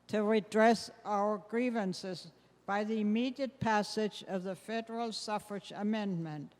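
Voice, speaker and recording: In a reverberant hall, a woman playing an impassioned suffragist leader reads a formal speech to lawmakers with deliberate, emphatic delivery. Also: mid-range voice, darkish tone, lightly acted. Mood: firm, petitioning. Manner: deliberate, emphatic, declamatory, stumbling slightly over words. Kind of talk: readers theater oratory, read from script. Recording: echoey hall with long reverb.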